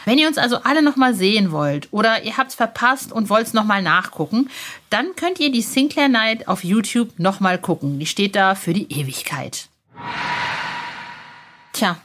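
Woman podcast host, chatty n playful, medium pitch, talking casually to listeners. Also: a bit bright.

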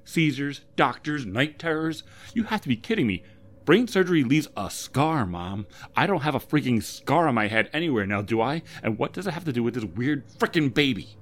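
A man voicing an angry, bewildered adult woman who swears and shouts in disbelief. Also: low-pitched, moderate pace.

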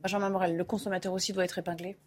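A woman's voice: lowish voice, flat delivery, very fast. A woman hosting a televised news debate, cutting in with short prompts and rephrased questions. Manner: brief interjections and rephrasing questions. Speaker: news talk show host.

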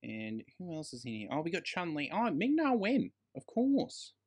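A man's voice: a little high, wildly swooping pitch, normal speed, darkish tone. A man co-hosting a casual film review chat, emphatic and slangy, with plenty of swearing. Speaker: podcast co-host.

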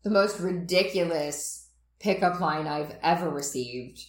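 A young woman co-hosting a podcast in casual chat, animated, laughing often and swearing freely. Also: on the low side, measured pace, reverberant. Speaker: podcast co-host.

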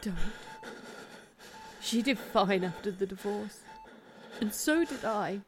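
A woman playing the loving, comforting grown daughter of a dying man in an audio drama, gentle and emotional. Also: mid-range voice, slow, natural voice. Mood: reassuring. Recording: clear ambient background noise.

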